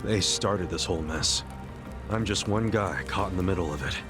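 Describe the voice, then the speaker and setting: An actor playing a big-hearted, stubbornly optimistic ex-convict hero in a video game, with brash, slangy, earnest delivery. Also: male voice, low-pitched, normal speed, over music, lightly acted. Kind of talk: dramatic video game voice acting.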